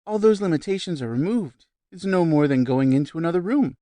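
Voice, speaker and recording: A man voicing a vivacious, shrill middle-aged society woman who gushes about spirits, raised high and loud above his own voice. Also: expressive, fairly quick, no room sound, lightly acted. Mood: lecturing.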